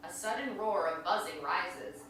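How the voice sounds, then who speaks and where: A woman narrates stage directions from a script at a live play reading. Her bright, crisp-edged voice comes from some distance in a very echoey room, with faint noise. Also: on the low side, expressive, normal speed.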